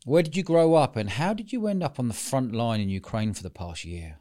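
A man's voice: medium pitch, lively intonation, fairly quick, warm tone. A man with a British accent hosting a podcast, asking blunt, probing questions in a casual conversational way. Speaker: podcast host.

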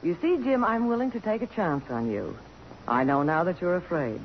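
An American actress playing a principled, defiant woman journalist with a crisp, cutting delivery in a 1950s radio drama. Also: on the low side, lively intonation, normal speed. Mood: cold, cutting. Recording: dull, noisy old radio broadcast recording.

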